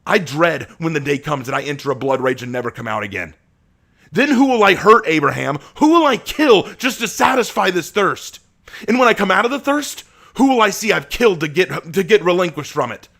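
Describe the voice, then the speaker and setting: A man voicing a tormented young male vampire with a volatile temper, who bursts into shouting rage and anguish. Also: a bass voice, moderate pace, pauses often, voice pushed down.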